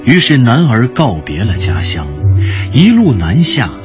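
A man's voice: mid-range voice, huge pitch swings, measured pace, lo-fi sound. A man narrating a martial-arts radio drama in a dramatic storytelling style. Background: music.

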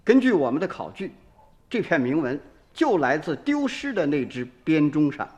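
An actor playing an earnest, learned museum director in a radio drama, with a formal, scholarly way of talking. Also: male voice, fairly high pitch, sing-song, normal speed, a little mellow. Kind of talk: Chinese radio drama acting. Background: music.

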